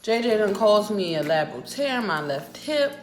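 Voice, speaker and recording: A woman in her twenties with an American accent tells a personal story in a casual, animated, emphatic and sassy vlog style. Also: medium pitch, moderate pace, slight background noise. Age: 20s. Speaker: vlogger. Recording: roomy echo with music behind.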